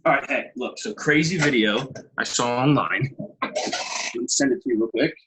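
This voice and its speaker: A man's voice: medium pitch, normal speed, noisy background, natural voice. An actor playing a chatty, well-meaning delivery guy, rambling and mildly flustered in a casual video-call play. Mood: casual, impatient. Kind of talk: casual one-sided call in a Zoom play.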